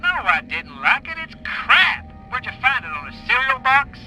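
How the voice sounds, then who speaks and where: Irritable mocking astronaut in a comedy game, sharp lively voice, faint music. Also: male voice, extremely high pitch, normal speed, full character voice, rough recording. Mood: mocking, irritated. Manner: sarcastic, indignant. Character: scornful, bickering male astronaut, mocking and irritable. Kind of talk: comic video game acting.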